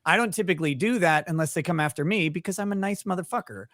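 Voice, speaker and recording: A man's voice: sits high, fast, fairly bright tone, no room sound, studio quality. A man hosting a live stream, cracking crude jokes and reading viewer comments aloud. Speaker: stream host. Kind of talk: casual comedic chat.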